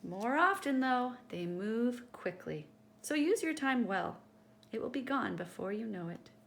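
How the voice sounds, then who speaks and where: A woman reading a picture book aloud to children in an expressive, teacherly way, speaking directly to her young listeners. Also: on the high side, a little slow, gravelly, slight background noise.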